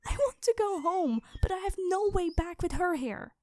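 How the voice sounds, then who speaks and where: A woman playing a distressed but stubborn young woman in an audio roleplay, speaking with a pleading, halting manner. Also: very high-pitched, lively intonation, darkish tone, no room sound, clean studio sound. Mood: desperate, insistent. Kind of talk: cinematic audio roleplay acting.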